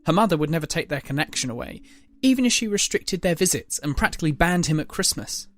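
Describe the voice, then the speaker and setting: A man's voice: on the high side, lively intonation, quick pace, a bit bright, no echo. A man narrating a scripted dramatic story as the narrator of an audio drama.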